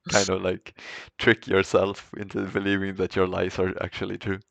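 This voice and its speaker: A man's voice: low voice, flat delivery, measured pace, gravelly, fairly bright tone, dry recording. A man from Sweden co-hosting a podcast, talking in casual, informal chat. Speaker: podcast co-host.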